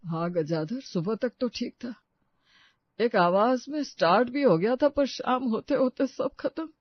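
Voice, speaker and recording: Casting: man playing older family patriarch, notably high voice, earnest and grieving, cartoon moral tale, dry slightly dull recording. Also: expressive, unhurried, no echo, heavily acted. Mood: sorrowful. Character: grieving, placating elderly family father mourning his Bittu. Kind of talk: Hindi moral story cartoon voice acting.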